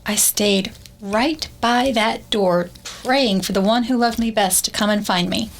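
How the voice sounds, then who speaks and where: A woman's voice: medium pitch, unhurried, vocal fry. A woman playing an anxious, tender young survivor in a radio drama, wistful and earnest in her delivery. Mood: wistful, afraid.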